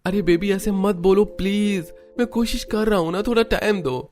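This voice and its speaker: A man's voice: sits high, normal speed, darkish tone, voice pushed up, lightly acted. A man voicing a scheming young husband and younger son in a cartoon story, with music behind him. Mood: pleading. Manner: pleading with wife, gloating with family. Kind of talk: Hindi cartoon story dub.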